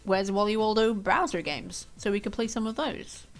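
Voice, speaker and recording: A woman's voice: medium pitch, moderate pace. A young woman streaming from home, chatting casually and rambling in a self-deprecating way. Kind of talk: casual chat to stream viewers. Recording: faint music behind.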